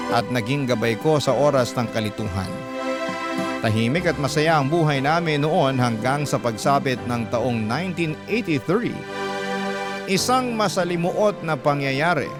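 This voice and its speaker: A man hosting a radio storytelling show, reading a letter as earnest, measured, dramatic narration in classic radio style. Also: a little high. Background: prominent background music.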